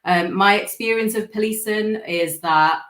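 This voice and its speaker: A woman from Sri Lanka, a former police officer, speaking on a panel in a measured way with frequent ums. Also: mid-range voice, fairly bright tone, echoey room. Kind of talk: panel talk.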